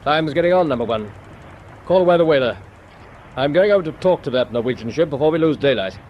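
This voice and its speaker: Guy playing calm firm british navy captain, radio play, voice a touch high, faint ship noise. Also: lo-fi sound, natural voice. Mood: composed, brisk. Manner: measured, authoritative. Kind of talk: radio drama acting.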